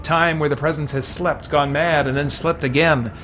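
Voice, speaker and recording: A man live-streaming a game, reading its text aloud and chatting casually as he thinks out loud. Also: fairly high pitch, moderate pace, soft background music. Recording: steady background noise.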